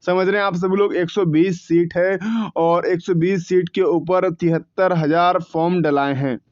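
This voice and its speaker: A man's voice: sits high, steady tone, measured pace, a little mellow, no room sound, dull audio. A young man hosting an exam coaching channel, explaining results casually in a conversational tone, with frequent restarts and repeated numbers. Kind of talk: informal exam analysis talk to viewers.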